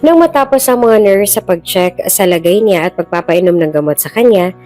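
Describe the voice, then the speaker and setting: A woman's voice: mid-range voice, lively intonation, moderate pace, a little mellow. Filipino woman narrating drama over music. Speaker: storyteller, channel host. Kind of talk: scripted dramatic story narration. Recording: music bed behind the voice.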